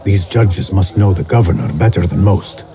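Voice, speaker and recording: Man voicing a calm young assassin, low, reflective, music under. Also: normal speed, lightly acted. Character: quiet watchful young male assassin. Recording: game audio with strong score.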